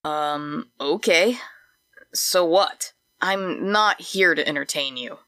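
Lady doing a little worried talking sapling, slow and animated, disapproving, very dry close sound, audio drama. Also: sits low, no room sound, full character voice. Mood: hesitant, dry. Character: small, wary, sensible talking tree sprout. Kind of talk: fantasy audio drama acting.

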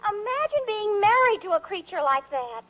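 An actress playing a pert, wheedling teenage American daughter in a 1940s radio comedy. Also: female voice, very high voice, sing-song, normal speed. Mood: scornful. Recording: dull old radio broadcast, faint audience.

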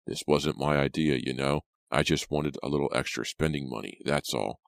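Audiobook villain: male narrator as a threatening young thug, stern growl, extremely low pitch, dry recording. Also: moderate pace, creaky, no echo. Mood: angry. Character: angry, threatening young male kidnapper with pistol.

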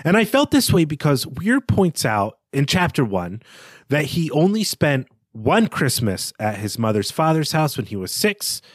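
A man's voice: a little high, sing-song, measured pace, no room sound, studio quality. A man co-hosting a podcast, talking through a book in a conversational style. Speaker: podcast co-host. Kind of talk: conversational literary discussion.

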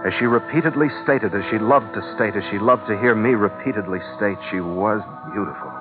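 An actor playing a hard-boiled, suspicious ship's skipper in old-time radio noir style, terse and sardonic. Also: male voice, fairly low pitch, brisk, close to an everyday voice. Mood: brooding. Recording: tinny vintage radio recording, faint music.

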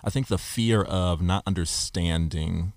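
A man's voice: low voice, unhurried, a little mellow, no room sound, studio quality. A man hosting a podcast discussion, talking reflectively in long explanatory runs. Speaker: podcast host. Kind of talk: casual discussion.

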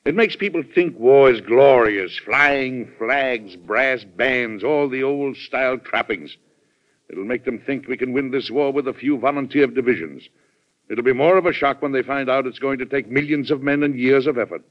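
Actor as measured, cautious president, muffled old radio. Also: male voice, medium pitch, close to an everyday voice. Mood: grave, worried. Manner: measured, reserved, polite. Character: courteous, cautious, thoughtful wartime president. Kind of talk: old-time radio drama acting. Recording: muffled vintage radio broadcast recording.

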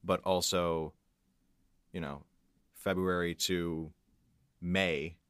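A man with a very deep voice talks casually as an interview guest. The sound is very dry, and he explains things in an informal, loose way. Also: level tone, drawn-out words, fairly bright tone, clean tone, dry recording. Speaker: guest, audio engineer and composer. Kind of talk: casual behind-the-scenes interview chat.

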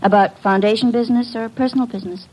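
A woman's voice: a little high, steady tone, fast, a little mellow, breathy edge, low-quality recording. An actress playing an earnest, protective young woman in love in a classic radio drama. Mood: guarded.

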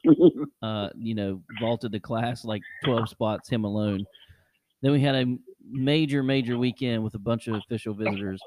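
A man's voice: mid-range voice, moderate pace, darkish tone. A man hosting a casual sports podcast, loose and chatty, repeating words for emphasis. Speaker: podcast host.

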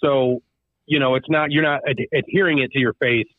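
Man, guest on a phone call, tinny phone-line sound, dry, mid-pitched, rambling with many ums. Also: steady tone, a little fast, no echo. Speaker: guest, haunted attraction owner. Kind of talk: phone interview answers.

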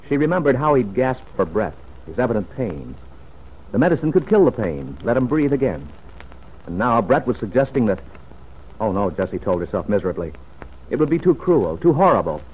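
A man narrating a radio drama from a script, in a grave, measured storytelling manner. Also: lowish voice, darkish tone, a little hiss or hum, rough recording. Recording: old radio recording.

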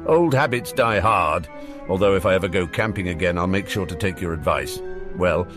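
A man voicing a calm, articulate, confident young boy in an audiobook story, polite and dry. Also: deep voice, moderate pace, music in the background.

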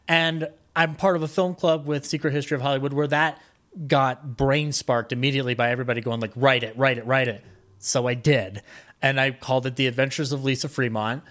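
A man's voice: fairly high pitch, fairly bright tone. A man talking as a podcast guest in a casual, rambling storytelling style, with an enthusiastic film-buff manner.

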